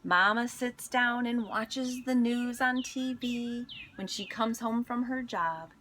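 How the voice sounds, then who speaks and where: A woman reading a picture book aloud in an expressive storytelling manner, pausing to speak to young listeners. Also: on the high side, slight background noise. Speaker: school instructional coach reading aloud.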